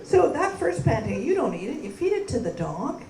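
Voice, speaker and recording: A woman from Canada doing a stand-up comedy set, delivering deadpan punchlines and bantering with the crowd on a club stage. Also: high voice, fairly quick. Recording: roomy live club sound from a distance with crowd laughter.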